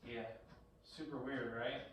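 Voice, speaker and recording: A man's voice: medium pitch, steady tone, slow pace, fairly bright tone. Guy teacher chatting w a student, jokey, mic far off, room echo. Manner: jokey, conversational, quizzing questions. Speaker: high school history teacher. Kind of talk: casual one-on-one classroom teaching. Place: classroom. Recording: echoey room, far-off mic, background noise.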